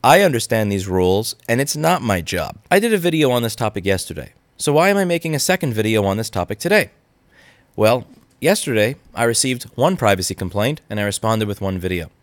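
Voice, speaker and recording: A man's voice: on the low side, sing-song, brisk, dry recording. A man in his thirties giving an indignant, argumentative commentary straight to camera, pushing his points with emphatic rising stresses. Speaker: repair shop owner and channel host. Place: indoor room with brick wall and couch. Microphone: studio mic on boom arm in front.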